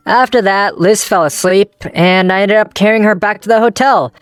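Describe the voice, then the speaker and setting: A woman's voice: medium pitch, natural voice. A woman voicing a young male office worker and ex-boyfriend in a manga dub, introspective and wryly self-deprecating, narrating and talking in character.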